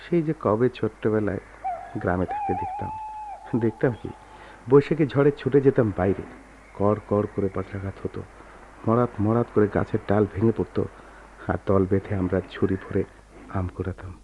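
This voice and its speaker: Brooding young gentleman, low-pitched, muffled. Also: wildly swooping pitch, normal speed, long pauses. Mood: nostalgic. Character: moody injured young gentleman, proud, brooding, quick-tempered. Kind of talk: Bengali radio play acting. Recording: dull, noisy old radio recording.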